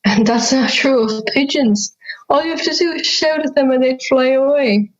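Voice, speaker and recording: A fairy-tale schoolgirl in an audio drama, played by a young woman, teasing and self-conscious. Her voice sits fairly high and comes through slightly lo-fi, with a questioning, chatty delivery. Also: moderate pace, lightly acted. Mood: sceptical. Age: young adult.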